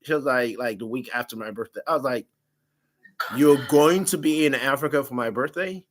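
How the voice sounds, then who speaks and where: A man on a dating talk show telling a story about his ex in a casual, animated way, with a big, loud voice. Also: medium pitch, normal speed. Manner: animated, laughing, quotes himself in raised voice.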